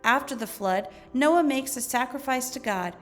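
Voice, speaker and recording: Female teacher, fairly high voice, measured instructional read-aloud with music behind. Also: lively intonation. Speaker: teacher reading lesson aloud. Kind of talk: educational read-aloud narration.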